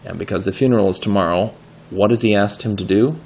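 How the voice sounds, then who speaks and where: Deep, gravelly man reading a story aloud. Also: quick pace, a little mellow, a little hiss or hum. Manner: explains words patiently, asks questions. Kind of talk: expressive story read-aloud with word explanations.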